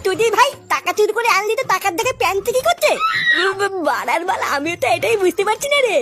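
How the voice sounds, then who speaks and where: Shrill, excitable cartoon petty thief. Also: male voice, fast, raised voice, over music. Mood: squabbling, exasperated. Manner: slangy, squabbling, exclamatory. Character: scheming, foul-mouthed male village petty thief, excitable. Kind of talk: slapstick cartoon comedy dialogue.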